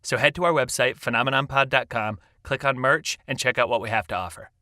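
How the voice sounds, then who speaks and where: A man's voice: medium pitch, a little fast, sharp tone, dry recording. A man reading a scripted podcast merch promo in an upbeat, enthusiastic manner, close on the mic.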